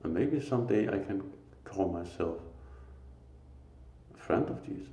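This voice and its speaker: A man with a Danish accent speaks slowly and earnestly about faith, pausing often between phrases. His voice is very low, gravelly and mellow. The recording is echoey, as in a bare room. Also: lively intonation. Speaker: lay preacher. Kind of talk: informal spiritual talk.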